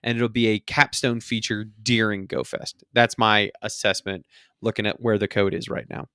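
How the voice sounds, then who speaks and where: A man's voice: lowish voice, little pitch movement, moderate pace, pushed and bright, clear, resonant tone, dry recording, clean studio sound. A man co-hosting a casual gaming podcast, explaining his opinions in an informal way. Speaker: podcast co-host. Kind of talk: casual two-person podcast discussion of a mobile game.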